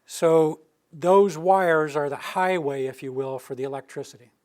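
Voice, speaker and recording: Older man with a steady monotone delivery. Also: on the high side, moderate pace. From Rocky Mountain region of the US. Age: older, near retirement. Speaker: utility planning director giving a public presentation. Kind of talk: informal public presentation. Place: meeting room with a live audience.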